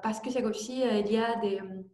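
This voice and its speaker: A young woman presenting her project in halting speech with many fillers and restarts, speaking as an over a video call. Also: on the high side, steady tone, mellow, roomy sound. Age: young adult. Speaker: youth forum participant presenting her project. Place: home, remote online event.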